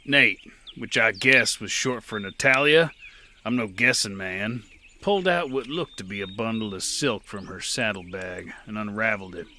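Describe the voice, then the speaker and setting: A rugged, world-weary gunslinger, voiced by a man tells his own story in a dry, clipped way. His voice sits a little low but rings bright and sharp. The narration is steady and unhurried, like an audio drama lead. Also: a little hiss or hum.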